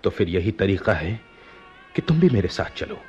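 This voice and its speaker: An actor playing a lovesick young prince in a radio play, imperious yet earnest, with courtly theatrical diction. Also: male voice, deep voice, big pitch swings, moderate pace, mellow, highs cut off, soft background music. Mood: earnest, grave. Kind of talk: Hindi-Urdu radio play acting.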